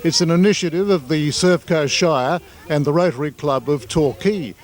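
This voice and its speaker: A man's voice: a little high, moderate pace. Casting: upbeat Australian male field reporter, lightish voice. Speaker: news reporter. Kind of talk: scripted news report.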